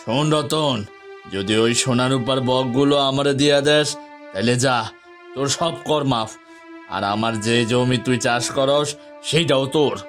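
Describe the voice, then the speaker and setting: Man playing a slow-spoken, bossy village headman. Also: medium pitch, faint music behind, natural voice. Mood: threatening. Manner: domineering, commanding. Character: greedy, bullying village headman. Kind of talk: cartoon village drama acting.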